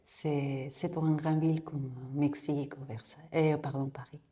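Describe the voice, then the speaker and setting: A woman from Mexico, a guest in a casual interview, speaking French as a with frequent pauses and restarts, recorded in a yoga studio. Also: deep voice, flat delivery, warm tone. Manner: hesitant, many restarts and fillers.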